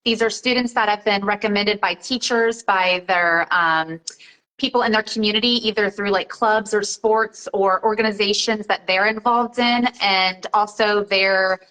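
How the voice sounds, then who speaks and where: A woman's voice: mid-range voice, moderate pace, sharp tone, rough recording. A woman, an interview guest explaining her work in a casual, conversational style. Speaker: interview guest, student exchange coordinator.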